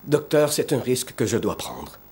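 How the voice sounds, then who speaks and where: An actor playing a gravely ill, devoted father in a French-dubbed cartoon, urgent and emotional. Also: mid-range voice, big pitch swings, moderate pace. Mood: grave, determined. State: ailing, weakened. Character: gravely ill, devoted father desperately seeking his daughter. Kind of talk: dubbed animated drama acting. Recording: voice over loud orchestral music.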